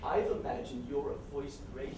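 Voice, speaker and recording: A man's voice: mid-range voice, normal speed, warm tone, close to an everyday voice. An actor playing an earnest, neurotic therapy patient in a comic stage play, awkward and hesitant as he confesses his feelings. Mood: nervous, smitten. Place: stage performance at a college, distant microphone. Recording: echoey hall from far off, noisy.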